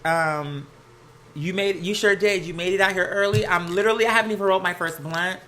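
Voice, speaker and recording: Bright, sassy American livestream host. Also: medium pitch, a little fast. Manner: sassy, campy, slang-heavy. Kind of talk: casual livestream chat. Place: at home, laundry running nearby. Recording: some household noise behind the voice. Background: laundry noise.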